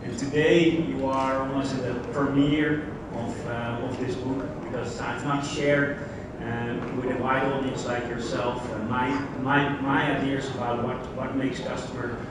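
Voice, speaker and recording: A man's voice: medium pitch, level tone, measured pace, hardly any pauses. Dutch guy doing a conference talk, chatty, asks the crowd stuff, mic kinda far off. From Netherlands. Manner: conversational, addresses the audience directly with questions. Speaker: conference speaker. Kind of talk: conference keynote presentation. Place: large conference hall. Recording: very echoey hall, distant mic, background noise.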